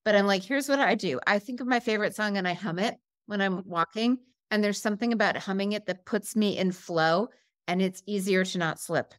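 A woman's voice: mid-range voice, brisk, no echo, clean studio sound. A middle-aged woman hosting an interview over a video call, speaking in an informal, conversational way. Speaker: interview host. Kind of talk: casual chat. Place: room at home, video call.

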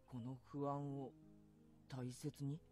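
A man's voice: medium pitch, flat delivery, a little slow, dark tone, soft background music, close to an everyday voice. A man playing an earnest, sensitive teenage schoolboy in a Japanese visual novel. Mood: uneasy.

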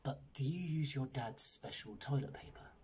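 A man voicing an earnest, embarrassed, chatty newsagent who confides in hushed tones, in a children's comedy audiobook. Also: mid-range voice, very animated, fairly quick, a little hiss or hum, lightly acted. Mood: confiding.

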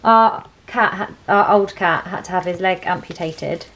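A woman's voice: lowish voice, measured pace, fairly bright tone. A young British woman chatting casually and informally to a handheld camera as she vlogs. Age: young adult. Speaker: vlogger. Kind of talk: casual vlog chat. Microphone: handheld camera at arm's length. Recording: noisy handheld vlog, room and car sounds.

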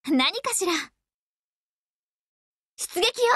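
A woman playing a proud, battle-hungry young warship woman in an anime game, with a confident, theatrical, forceful delivery. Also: very high voice, sing-song, extremely slow, no echo, clean studio sound, heavily acted. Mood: excited. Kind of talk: anime game character voice lines.

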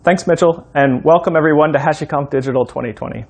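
A man in his thirties giving an instructional, step-by-step walkthrough as a software demo presenter. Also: on the high side, fast, gravelly. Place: room in a home.

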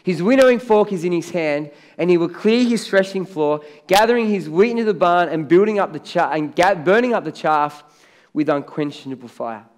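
Australian pastor preaching, higher-pitched man. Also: animated, moderate pace, a little mellow. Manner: conversational preaching, rising to emphatic on key words. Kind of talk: sermon. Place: large church stage with blue lighting.

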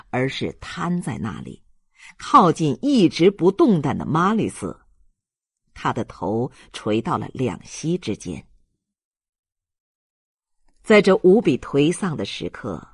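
A man reading a novel aloud as a dramatic, deliberate audiobook narrator. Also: mid-range voice, husky, dry recording, clean studio sound.

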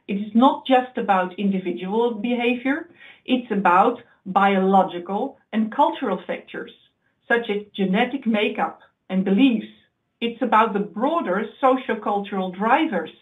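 A woman giving a webinar talk from slides, explaining in a measured way with occasional slips and restarts. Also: medium pitch. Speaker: research presenter. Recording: tinny phone-like audio.

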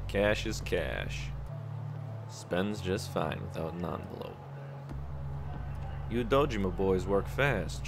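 A man playing a shady, middle-aged loan shark with smooth, worldly, persuasive patter in a video game fan dub. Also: deep voice, heavily acted. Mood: easygoing, slick.

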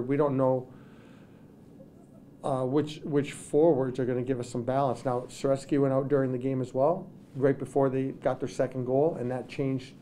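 A middle-aged male hockey coach speaks into table microphones at a postgame press conference. His voice is mellow and darkish, with a faint hum behind it. He talks in halting stretches, restarting sentences and leaning on "you know.". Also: mid-range voice. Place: press room with sponsor backdrop. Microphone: table microphones in front.

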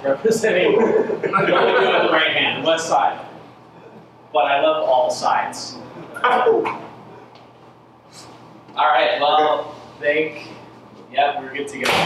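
Man presenting at a meetup, relaxed and joking, heard from across a big reverberant room with background noise. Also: a little high, slow pace, long pauses. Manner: casual, joking, loose with fillers. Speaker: meetup speaker. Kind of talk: live tech presentation. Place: large indoor event space with audience. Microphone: handheld mic. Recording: echoey room, mic from far off, noisy.

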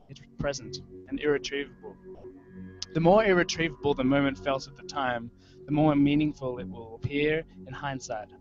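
Man reading literary fiction over music. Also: medium pitch. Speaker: writer reading his own fiction. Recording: dull lo-fi web stream audio.

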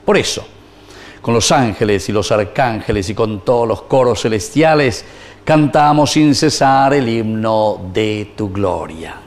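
A middle-aged man from Paraguay, a priest reciting the prayers of Mass in a measured, solemn way in a small wood-paneled chapel. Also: fairly low pitch.

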